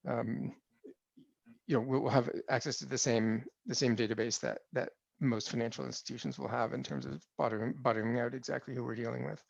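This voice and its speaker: A man's voice: medium pitch, steady tone, fairly quick, creaky, no echo, lo-fi sound. A middle-aged man speaking in a businesslike way on a video call, wearing a headset. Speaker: call participant adding information. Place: study with framed pictures and flag on wall.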